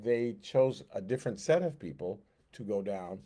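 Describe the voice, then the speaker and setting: Man, Bible class teacher, warm low tone, conversational, quick acted-out asides. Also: lively intonation. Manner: conversational, acts out God and Moses in quick asides. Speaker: Sunday school teacher.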